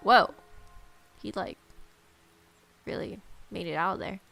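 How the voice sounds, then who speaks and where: Woman reading aloud with a creaky voice. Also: mid-range voice, slow, pushed and bright, soft background music. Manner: irreverent, sarcastic. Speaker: let's player. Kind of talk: reading visual novel aloud with casual joking asides.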